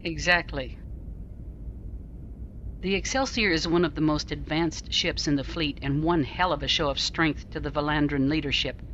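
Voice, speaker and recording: A woman playing a commanding starship captain in a fan audio drama, speaking with dry, crisp authority. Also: low-pitched, moderate pace, fairly bright tone, highs cut off, slight background noise.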